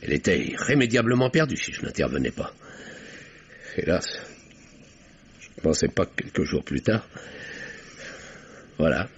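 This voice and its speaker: An actor playing a pompous, self-righteous middle-aged French uncle in a 1950s-style radio drama, speaking formally and defensively. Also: male voice, deep voice, sing-song, moderate pace, pauses often, a little hiss or hum. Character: pompous, indignant, self-righteous older uncle under questioning. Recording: dull vintage radio recording.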